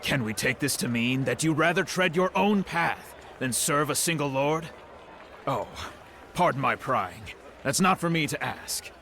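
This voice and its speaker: A man's voice: a little high, expressive, unhurried, bright, forceful tone, slight background noise, full character voice. An actor playing a polite, gossipy innkeeper who is eager to serve his customers. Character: friendly, chatty male innkeeper. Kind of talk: game cutscene voice acting.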